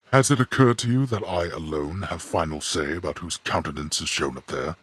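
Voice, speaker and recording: An actor playing a stern, imperious old god who rules the underworld in a video game, speaking in haughty, formal, commanding phrases with a gravelly bass. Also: male voice, no room sound, full character voice. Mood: stern approval. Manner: haughty, curt, commanding, weighty formal diction. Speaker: ruler of the underworld. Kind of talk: formal, archaic video game character acting.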